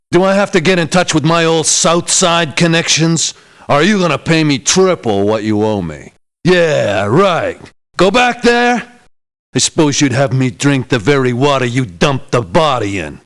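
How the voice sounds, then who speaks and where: Sardonic ex-cop mercenary, lively pitch. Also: male voice. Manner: sardonic, tough-talking. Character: gruff, sardonic ex-policeman mercenary man, streetwise and stubborn. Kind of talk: video game character voice acting.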